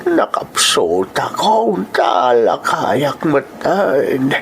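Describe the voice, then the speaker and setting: A man with an Ilocano accent acting a frail old uncle in a radio drama, plaintive, wavering and drawn-out. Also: medium pitch, sing-song, vocal fry, slight background noise, full character voice. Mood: weary, pleading.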